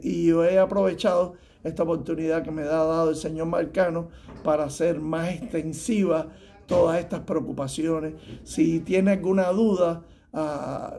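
A man in his fifties with a Cuban Spanish accent, a tax preparer giving halting advice to the camera from a small office, with many fillers and restarts. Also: a little high, mellow. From Cuba. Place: small office room indoors.

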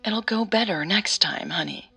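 A woman voicing a calm, patient mother who gently soothes and advises her daughter in an audio drama. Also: medium pitch, moderate pace, creaky, slightly rough, soft background music, full character voice. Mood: advising.

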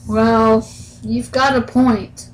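Casting: woman as eager hungry rabbit sailor for a puppet tale, high, urgent, excitable. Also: very slow, a little hiss or hum, rough recording. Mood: conceding.

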